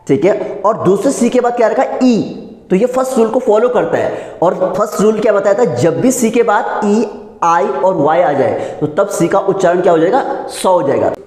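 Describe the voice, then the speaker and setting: A man's voice: sits high, dark tone. A man with an Indian accent teaching a pronunciation lesson, didactically asking questions and answering them himself. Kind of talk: classroom lesson in spoken English. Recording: echoey room with long reverb.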